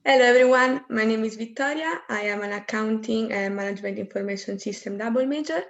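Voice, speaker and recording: Young woman, clear and direct. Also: a little high, brisk, lo-fi sound. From Rome, Italy. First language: Italian. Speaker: student panelist.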